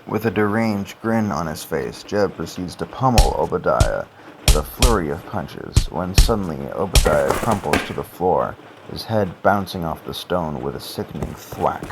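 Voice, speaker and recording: Man as deep gravelly horror-tale narrator. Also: expressive, moderate pace, heavy background noise. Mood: grim, dramatic. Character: ominous storybook narrator of comedy horror tale. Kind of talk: scripted dramatic narration. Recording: loud music under the voice.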